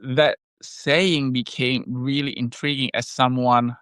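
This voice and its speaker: A man whose first language is Indonesian, co-hosting a casual podcast chat and explaining things in a measured way with frequent pauses and fillers. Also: mid-range voice, steady tone, fairly bright tone, smooth, dry recording, clean studio sound. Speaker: health product maker and podcast co-host.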